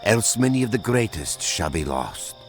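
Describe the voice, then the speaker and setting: A man's voice: on the low side, very animated, moderate pace, soft background music. An actor playing a blind old male seer in a fantasy game, speaking solemnly and cryptically with portentous weight. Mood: grave foreboding. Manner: solemn, portentous, oracular delivery. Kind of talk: video game cutscene acting.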